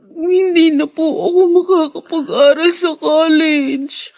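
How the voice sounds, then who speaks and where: A heartbroken young student daughter in radio melodrama. She speaks slowly and respectfully in a high, tearful voice. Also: steady tone, a little mellow. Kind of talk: radio drama acting.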